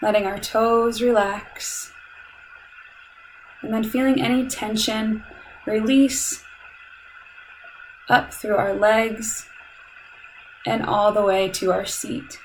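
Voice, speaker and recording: A woman leading a guided meditation in a calm, soothing, measured way, leaving long pauses between instructions. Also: a little high, slight background noise, rough recording.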